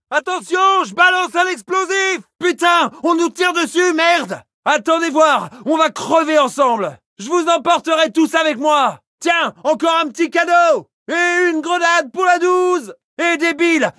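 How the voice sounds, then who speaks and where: Fierce video game soldier, strained urgent shouting, sharp tone, really dry studio sound. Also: male voice, very high-pitched, no echo, exaggerated voice. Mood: alarmed. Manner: urgent, aggressive, short exclamatory bursts. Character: fierce French-speaking infantry soldier shouting battle barks. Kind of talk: video game combat voice lines.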